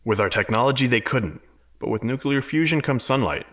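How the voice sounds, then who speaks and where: A man's voice: medium pitch, animated, a little fast, fairly bright tone. An actor playing a confident, open-minded scientist in an audio drama, lecturing earnestly and arguing his case. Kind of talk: dramatic audio fiction acting.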